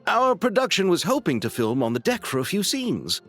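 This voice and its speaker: Polite, hesitant film director. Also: male voice, high-pitched, very animated, moderate pace, a bit bright, exaggerated voice. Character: friendly, modest film director man. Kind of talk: video game voice acting. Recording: game audio over faint music.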